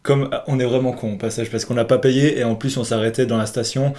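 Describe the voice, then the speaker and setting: A man's voice: mid-range voice, fairly even intonation, quick pace, darkish tone. A young man co-hosting a podcast, casually telling an anecdote to a friend in slangy, laughing bursts. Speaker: podcast co-host.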